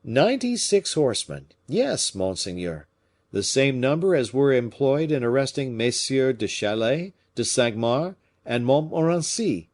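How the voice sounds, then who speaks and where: A man playing a shrewd, lively musketeer captain. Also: medium pitch, pitched above the performer's own voice. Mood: feigned casualness. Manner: measured, pointed, formally polite. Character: shrewd, courteous musketeer captain hinting slyly, male. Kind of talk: audiobook character acting.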